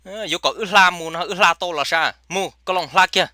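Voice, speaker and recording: A man voicing a comic male cartoon character who chatters in a rapid, emphatic way. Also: high voice, noisy background, close to an everyday voice. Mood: exclaiming.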